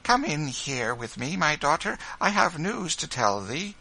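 A man voicing a kindly, joyful, motherly Quaker woman in an audiobook, with soft, tender, old-fashioned speech. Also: a little high, big pitch swings, measured pace, vocal fry, pitched above the performer's own voice, heavily acted, rough recording. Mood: gentle, tender. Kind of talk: audiobook character voice, storybook dialogue.

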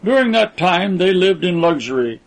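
A man's voice: high-pitched, sing-song, moderate pace, vocal fry, pitched above the performer's own voice, exaggerated voice, low-quality recording. A man voicing a proud, robust rich patriarch of about forty, pompous and declaiming, in a story reading.

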